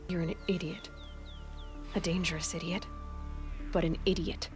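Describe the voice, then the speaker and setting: An actress playing a scornful young huntress heroine in a video game, blunt, dry and defiant. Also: female voice, fairly low pitch, steady tone, normal speed, close to an everyday voice. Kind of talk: dramatic video game dialogue. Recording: game dialogue over clear background music.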